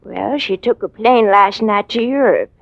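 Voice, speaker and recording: A woman playing a respectful, wistful housekeeper in 1940s radio drama style, halting and confiding. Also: medium pitch, vocal fry, no room sound. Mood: polite. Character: deferential, long-serving female housekeeper, wistful. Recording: dull, dry vintage radio recording.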